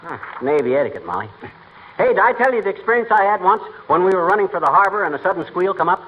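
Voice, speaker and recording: Blustery radio husband, noisy old recording. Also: male voice, high-pitched, very animated, fast, phone-quality sound. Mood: jaunty, boastful. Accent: American. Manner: folksy, blustery wisecracking. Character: blustering, wisecracking middle-aged husband, boastful small-town fibber. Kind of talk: 1940s radio comedy acting.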